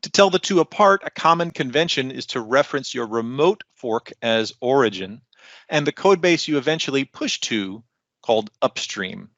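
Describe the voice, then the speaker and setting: Gravelly man giving a tech presentation. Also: medium pitch, expressive, normal speed, fairly bright tone, no room sound, muffled recording. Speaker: technical content developer presenting. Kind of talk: online conference presentation, explanatory.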